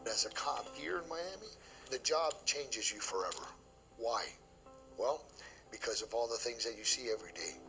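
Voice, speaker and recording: A man's voice: medium pitch, expressive, moderate pace, creaky. A man in his sixties, a retired police officer, speaking in a documentary interview in an emphatic, matter-of-fact way. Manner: emphatic, matter-of-fact, asks and answers himself. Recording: interview with music bed behind.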